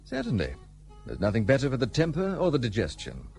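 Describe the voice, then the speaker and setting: An actor playing a guarded, blunt British gentleman in colonial India, speaking formally and brusquely in a period radio play. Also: medium pitch, huge pitch swings, fairly quick, creaky, soft background music. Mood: dry, opinionated.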